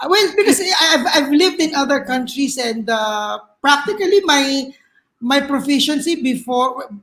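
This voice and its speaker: A woman's voice: high-pitched, lively intonation, a little slow, fairly bright tone. A woman with a Filipino accent speaking as a panel guest, hesitant with many fillers and repeated words. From Philippines. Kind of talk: casual panel discussion.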